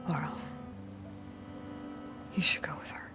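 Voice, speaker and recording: An actress playing a stubborn, self-reliant young huntress in a video game, guarded and weary, speaking in terse, understated phrases. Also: female voice, slow pace, in a whisper, over music, close to an everyday voice. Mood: insistent. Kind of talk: video game cutscene acting.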